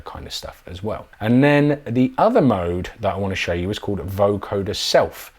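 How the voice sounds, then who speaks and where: A man's voice: lowish voice, a little mellow, husky. A man presenting a casual product demo tutorial in an informal, enthusiastic way. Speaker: synth demonstrator, presenter. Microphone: microphone.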